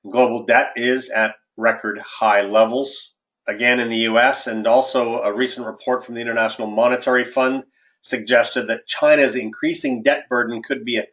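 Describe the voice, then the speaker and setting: A man delivers formal, measured market commentary as the host of an investment webinar. His voice sits at an ordinary male pitch, and the audio is dull and lo-fi, like a conference call. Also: steady tone, a bit bright. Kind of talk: webinar presentation, partly scripted market commentary. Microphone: conference call line.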